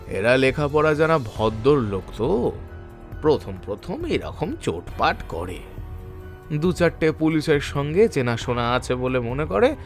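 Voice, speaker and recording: A man plays a menacing gang boss in an audio drama. His mid-range voice drawls with mock politeness and smug contempt. Soft music runs behind him. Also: mid-range voice. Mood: sneering. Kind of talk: Bengali audio drama acting.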